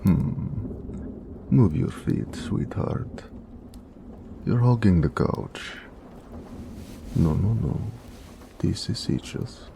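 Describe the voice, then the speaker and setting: A very deep, heavily gravelled orc blacksmith acted by a man, speaking. He is a gentle, doting lover who teases affectionately in a very slow, unhurried drawl, as in an intimate romantic audio roleplay. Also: sing-song, a little hiss or hum, heavily acted. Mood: playful coaxing. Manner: affectionate, playfully sarcastic, unhurried.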